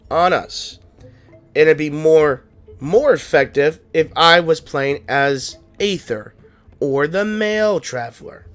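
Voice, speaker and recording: Congested, animated, slow-paced game streamer. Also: mid-range voice. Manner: playful, teasing, reads dialogue aloud. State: congested, tight-chested. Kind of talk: casual playthrough commentary. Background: game music.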